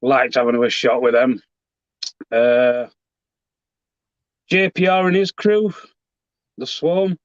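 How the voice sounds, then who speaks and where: A man, a wrestler being interviewed, talking in a halting, plainspoken way over a video call. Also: medium pitch, dry recording. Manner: halting, plainspoken, many fillers. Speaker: professional wrestler guest. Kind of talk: casual interview chat. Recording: dull lo-fi call audio.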